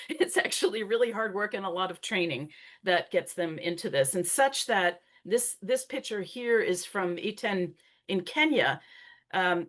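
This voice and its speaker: A woman's voice: lowish voice, a bit bright. A middle-aged woman presenting a talk in a conversational way, with frequent fillers and restarts. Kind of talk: conference talk.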